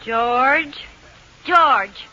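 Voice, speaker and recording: An actress playing a scatterbrained, demanding but timid American housewife in 1940s radio comedy, theatrical and exasperated, on an old recording. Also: female voice, high voice, extremely slow, sharp tone, muffled recording, slight background noise, full character voice.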